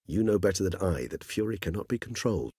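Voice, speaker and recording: An actor playing an erudite, courteous, weary male higher vampire in a fantasy game, speaking formal, wordy, old-fashioned English in a husky voice. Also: lively intonation, quick pace, no room sound, studio quality, exaggerated voice. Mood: grave warning. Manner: formal, wordy, archaic gentlemanly diction. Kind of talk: fantasy game character dialogue.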